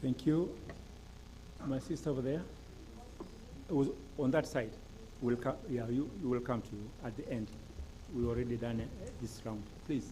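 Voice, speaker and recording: A man's voice: mid-range voice, moderate pace, long pauses, mellow, poor audio. A man moderating a session, giving brief instructions to pass the floor. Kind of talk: directing speakers.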